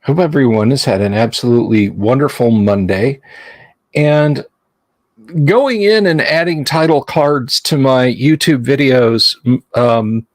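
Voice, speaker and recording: A man's voice: mid-range voice, lively intonation, unhurried, dry recording. A man hosting a casual livestream chat, rambling and self-deprecating, often restarting his sentences. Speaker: livestream host.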